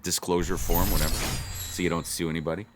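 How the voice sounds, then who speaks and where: A man's voice: low-pitched, level tone, fast, slight background noise. A man hosts a casual livestream, chatting to viewers in a rambling way and repeating phrases for emphasis. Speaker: livestream host of a blackjack channel.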